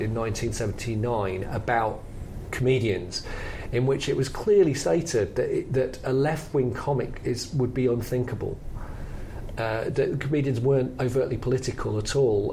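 A man's voice: mid-range voice, gravelly, warm tone, a little hiss or hum. A man co-hosting a podcast, talking in a reflective, explanatory, conversational way. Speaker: podcast host and comedy academic.

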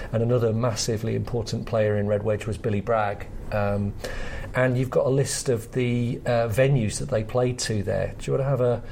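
A man's voice: lowish voice, gravelly, mellow, slight background noise. A British man hosting a podcast in a conversational, explanatory style. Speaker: podcast host, comedy academic.

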